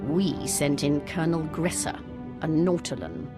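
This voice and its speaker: A stern imperial commander in a video game. She sounds formal, haughty and commanding, with music playing clearly behind her. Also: low voice, a little slow. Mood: grave, proud. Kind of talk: scripted video game acting.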